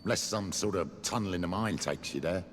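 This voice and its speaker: A man's voice: low voice, brisk, lightly acted. A man voices a cautious village miner in a fantasy game. He talks plainly and practically. The sound has a long reverb tail and faint ambient noise. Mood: obliging. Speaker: voice actor in a fantasy video game. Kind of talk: game dialogue.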